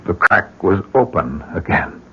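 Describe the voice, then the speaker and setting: An actor playing an earnest, grieving middle-aged husband in an old-time radio drama, speaking haltingly. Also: male voice, low voice, very animated, heavily acted. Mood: baffled. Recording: muffled, husky-sounding old radio recording.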